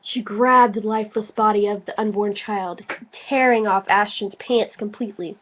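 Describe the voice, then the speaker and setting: A woman's voice: mid-range voice, fairly even intonation, moderate pace. A drunk woman reading a story aloud mock-dramatically as an amateur, breaking off for muttered critical asides, on a lo-fi home recording. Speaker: amateur co-reader of a fan story.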